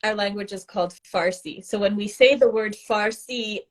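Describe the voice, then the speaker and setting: Female journalist, earnest and emphatic delivery, ordinary mid pitch. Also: normal speed. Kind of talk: news segment on a live stream.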